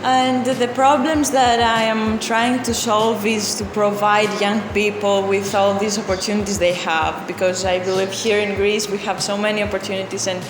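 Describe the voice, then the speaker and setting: A woman in her twenties, introducing herself in an interview and pausing often with fillers. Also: mid-range voice, flat delivery, noisy background. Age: 20s. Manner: hesitant, many fillers. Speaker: co-founder of a non-profit. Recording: echoey hall recording. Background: background music.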